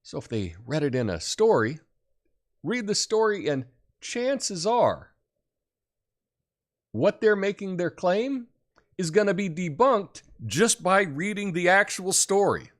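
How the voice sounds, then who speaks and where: Male show host, deep and loud voice, lively pitch, casual advice monologue. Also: slow, pauses often. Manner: loud, emphatic, swoops up high in acted examples.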